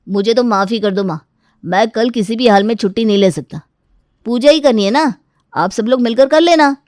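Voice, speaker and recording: A woman's voice: a little high, normal speed, darkish tone, dry recording, clean studio sound, voice pushed down, natural voice. A woman voicing a reluctant, busy younger adult son who pleads and protests in a moral story. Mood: pleading protest. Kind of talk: acted dialogue in a moral story.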